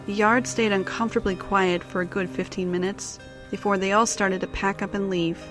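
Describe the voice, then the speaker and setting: A woman's voice: medium pitch, steady tone, moderate pace. Woman narrating a story over music. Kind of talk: scripted storybook narration of an audio drama.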